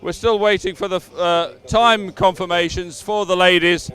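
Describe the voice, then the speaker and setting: A male sports presenter speaks in a fairly high register. His voice is extremely clear and smooth. He announces formally and with emphasis, as live commentary. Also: steady tone, normal speed, a bit bright.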